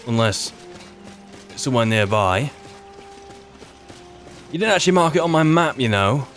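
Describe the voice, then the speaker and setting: A man's voice: mid-range voice, animated, unhurried, fairly bright tone. Wry man roleplaying over game music. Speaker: roleplay video maker and player. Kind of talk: in-character improvised game roleplay commentary.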